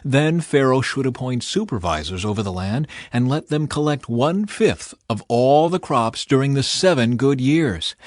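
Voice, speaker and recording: A man narrates scripture in the manner of an audiobook. His mid-pitched voice moves through the text in measured, clearly phrased lines with expression. The recording is dry, with hardly any room sound. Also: no echo.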